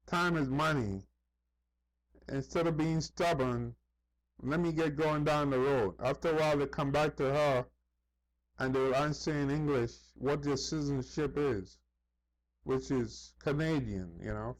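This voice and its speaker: A man's voice: mid-range voice, measured pace, low-quality recording. A man talking in a casual, rambling monologue, repeating himself, saying "you know" often and acting out other people's remarks as he tells anecdotes. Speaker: vlogger.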